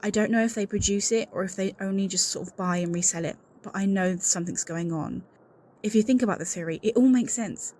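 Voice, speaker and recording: A woman's voice: mid-range voice, fairly quick, dark tone, a little hiss or hum, lightly acted. A woman playing an anxious, suspicious secretary in an audio roleplay, speaking in an earnest, confiding and insistent way. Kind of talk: scripted audio roleplay acting, one-sided conversation.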